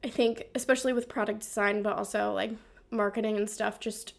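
A woman's voice: medium pitch, steady tone, fairly quick, creaky. A woman hosting a podcast, interviewing in a casual, chatty way with plenty of "like" sprinkled through her sentences. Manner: informal, frequent "like" as a filler.

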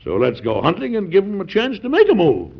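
An actor playing a principled, jovial, stubborn American president in an old-time radio drama, emphatic and folksy. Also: male voice, high voice, huge pitch swings, brisk, gravelly, husky, faint music behind, full character voice. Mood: amused, hearty. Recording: dull, lo-fi old radio recording.

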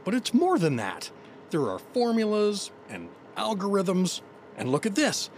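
Animated, awkward office dad. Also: male voice, sits high, measured pace, slight background noise, full character voice. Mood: eager, fatherly. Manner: eager, awkward, defensive. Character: earnest, nerdy, put-upon office dad.

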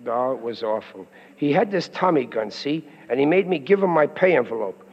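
An actor playing a hapless, aggrieved middle-aged working-class husband, slangy and grumbling, in 1940s radio comedy style. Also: male voice, medium pitch, expressive, normal speed, breathy edge. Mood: upset. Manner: plain-spoken, slangy grumbling. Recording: muffled, noisy old radio recording, reverberant.

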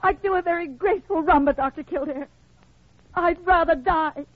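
An actress playing a bitter, despairing young hospital patient with sardonic, melodramatic delivery in 1940s radio drama style. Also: female voice, very high-pitched, moderate pace. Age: young adult. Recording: vintage radio recording, narrow band.

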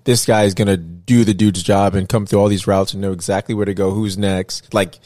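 A man in a casual discussion who talks deliberately, pausing on "uh" fillers between words. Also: lowish voice, level tone, darkish tone, no echo, clean studio sound.

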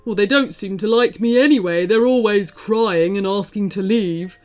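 A woman's voice: medium pitch, normal speed, a little mellow, faint music behind, lightly acted. A woman voicing a big, lonely male dragon in a children's storybook, menacing but open to persuasion. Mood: chastened.

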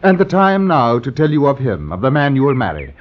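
An actor playing a sly, sentimental army officer uncle in an old-time radio drama, theatrical and solemnly singsong. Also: male voice, mid-range voice, huge pitch swings, fairly quick. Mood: solemn, coaxing. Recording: muffled vintage radio recording.

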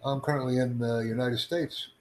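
A man's voice: mid-range voice, steady tone, moderate pace, a little hiss or hum. A man hosting a casual online talk room in upbeat, rambling patter, a native English speaker. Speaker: host. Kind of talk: casual chat.

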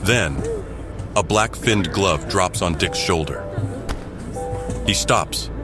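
A man narrating an audio drama in a measured, dramatic style. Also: low voice, music in the background.

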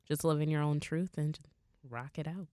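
A low-pitched young woman talks in a loose, candid way, heavy with "like" fillers and casual swearing. She is a podcast co-host. The sound is close and dry. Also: steady tone, moderate pace, dark tone, no echo. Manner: blunt, swears freely, many "like" fillers.

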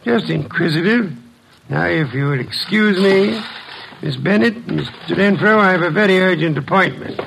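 Old radio detective boss, creaky and pompous, formal talk, bit of noise on the recording. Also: male voice, sits high, a bit bright. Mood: formal, probing. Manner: pompous, formal, deliberate diction. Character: imperious, deliberate male detective boss, gruff and dismissive. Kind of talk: old-time radio mystery acting. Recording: noisy vintage radio recording.

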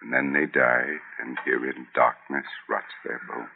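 An actor playing a vengeful dead man risen from the grave, speaking with slow, solemn menace in old-time radio horror style. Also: flat delivery, full character voice. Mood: ominous. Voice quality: very deep, gravelly. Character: vengeful walking corpse of a wronged worker. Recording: muffled old radio recording.

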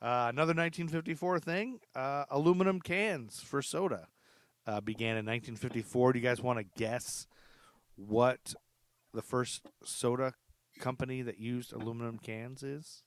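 A man hosting a podcast in casual, chatty, loosely phrased talk, quick to laugh. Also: mid-range voice, smooth, no room sound, studio quality. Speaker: podcast host.

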